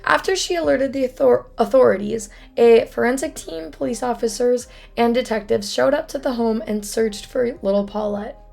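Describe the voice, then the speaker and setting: A young woman hosts a true crime video in a hoarse, froggy voice with a gravelly edge. She talks casually and chattily, dropping apologetic asides as she goes. Faint music sits underneath. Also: on the high side, normal speed, hardly any pauses, darkish tone.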